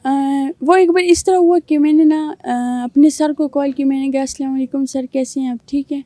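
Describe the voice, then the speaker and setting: A young man answering interview questions in a girlish falsetto, rambling with fillers. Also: fairly even intonation, mellow, no room sound. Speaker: guest.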